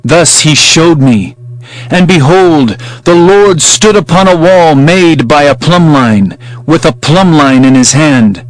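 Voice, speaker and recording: A man's voice: on the high side, takes it slowly, low-quality recording. A man playing an earnest, humble herdsman prophet, speaking solemnly and declaiming in a dramatized scripture reading. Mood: awed.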